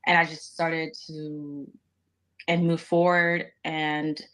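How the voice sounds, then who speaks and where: A woman's voice: deep voice, flat delivery, slow, a bit bright. A woman answering as a guest on a live show, rambling with many fillers. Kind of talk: conversational interview answers.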